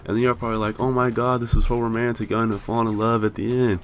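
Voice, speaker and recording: A young American man telling a rambling, profane story about himself with self-mocking laughs, his voice a bit raspy from being high. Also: little pitch movement, quick pace, slight background noise. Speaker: vlogger. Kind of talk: casual storytelling.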